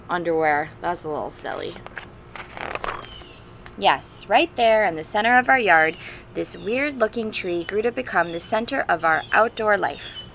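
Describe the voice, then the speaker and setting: Female storybook reader for young children, expressive and chatty, creaky mid-range voice, clear background noise. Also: mid-range voice, measured pace, low-quality recording. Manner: expressive, simple explanations and questions for young children. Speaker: teacher reading a picture book aloud to children. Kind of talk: storybook read-aloud with chatty asides to children.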